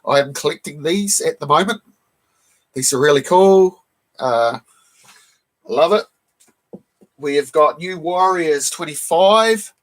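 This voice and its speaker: A man hosting a casual live stream, croaky from a cold, who keeps clearing his throat and greets viewers in the chat one by one. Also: a little high, fairly bright tone. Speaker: live stream host showing comic books.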